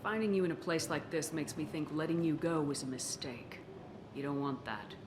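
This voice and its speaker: A woman's voice: low voice, normal speed, close to an everyday voice. A woman playing a principled, controlled military commander in a video game, speaking directly and firmly. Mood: stern, dry. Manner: direct, probing questions. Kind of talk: video game character acting. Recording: noisy background ambience.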